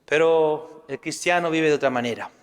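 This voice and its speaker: A priest from Spain with a Castilian Spanish accent delivers a sermon, his voice sitting a little high for a man. He teaches earnestly and poses rhetorical questions to his listeners. The sound carries a long reverb, as if in a large church hall. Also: normal speed, clean tone.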